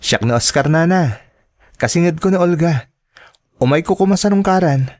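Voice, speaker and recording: A man's voice: a little high, lively intonation, normal speed, a little mellow, dry recording, clean studio sound, natural voice. A man playing an earnest, polite young suitor in a radio drama, explaining himself with an Ilocano accent. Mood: earnest, respectful. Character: earnest, polite young suitor who works in fast food. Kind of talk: Filipino radio drama acting.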